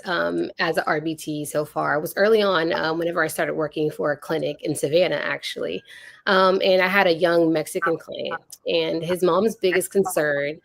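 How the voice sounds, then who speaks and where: Woman, native Spanish speaker, low creaky voice, earnest reflective storytelling, close dry sound. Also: no echo. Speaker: behavior technician sharing career stories. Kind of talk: personal anecdote in a panel chat.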